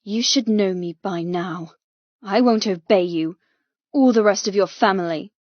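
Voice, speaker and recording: A woman's voice: mid-range voice, a little slow, no echo, natural voice. A woman plays a defiant young female captive in an audiobook drama. She is bold and provoking, pushing her words out with heated emphasis. The recording is dry and a little muffled. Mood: angry defiance.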